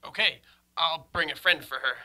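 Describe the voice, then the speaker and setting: A man's voice: on the high side, normal speed, bright, forceful tone. A man playing a grumbling, exasperated husband in an old-time radio comedy.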